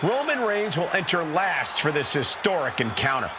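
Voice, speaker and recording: A wrestling game commentator. He delivers dramatic hype in a high voice. Heavy arena noise surrounds him. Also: big pitch swings, normal speed. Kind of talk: sports commentary in a video game. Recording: loud arena noise behind voice.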